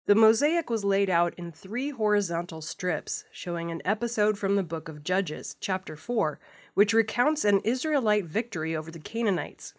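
Woman news reader, medium-pitched scripted delivery. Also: animated, moderate pace.

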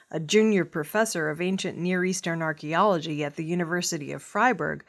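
A woman's voice: lowish voice, fairly bright tone. A woman news reader delivering scripted news narration.

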